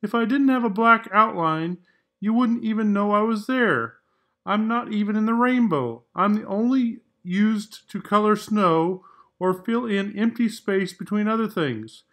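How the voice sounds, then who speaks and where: Man voicing a forlorn, high-pitched crayon. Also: lively intonation, a little slow, a bit bright, full character voice.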